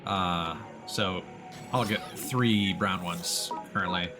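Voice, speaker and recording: A man's voice: low-pitched, takes it slowly. A man doing casual live let's play commentary, exclaiming to himself and thinking aloud with casual swearing. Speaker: game streamer. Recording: game music behind the voice. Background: video game music.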